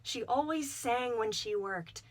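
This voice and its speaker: A woman reading a storybook aloud to children with clear, expressive delivery. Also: a little high, a little slow.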